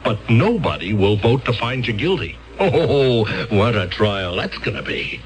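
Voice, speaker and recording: A man's voice: mid-range voice, very animated, measured pace, rough recording. A brash, ambitious defense lawyer in a 1970s radio drama. He has a middle-range voice that leaps about as he wheedles and presses, with faint music under it. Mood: gleeful. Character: fast-talking ambitious male defense lawyer.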